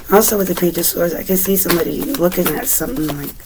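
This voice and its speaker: A woman with a raspy, recovering voice giving a relaxed, chatty tarot reading at home, with many fillers. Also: lowish voice, a little fast, noisy background. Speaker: tarot reader. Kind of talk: casual tarot reading to camera.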